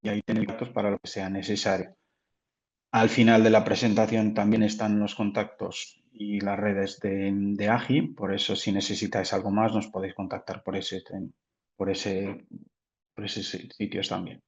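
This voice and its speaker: Brief: low Portuguese-accented man, careful webinar presenter, muffled lo-fi audio. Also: flat delivery, normal speed. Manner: careful, with frequent restarts. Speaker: product manager presenting. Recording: dull lo-fi audio.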